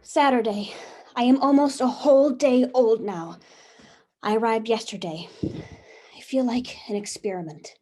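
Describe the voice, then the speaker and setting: A woman's voice: a little high, moderate pace, darkish tone, lightly acted. A woman playing Eve, a newly made, curious and talkative first woman, reading her diary in a wondering, chatty way over a video call. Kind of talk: stage reading of a comic play.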